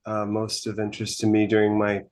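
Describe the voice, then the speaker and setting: A newly certified coach introducing himself on a video call, measured and hesitant. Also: male voice, on the low side, monotone, darkish tone.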